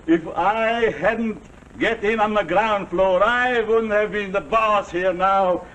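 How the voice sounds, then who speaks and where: A bullying, boastful general, pitched high and speaking slowly. He gloats in halting phrases, in the manner of 1940s suspense acting. The old television soundtrack hums with noise. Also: fairly bright tone, full character voice. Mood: gloating. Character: boastful, domineering self-made general, a former stable boy. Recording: noisy, humming 1949 television soundtrack.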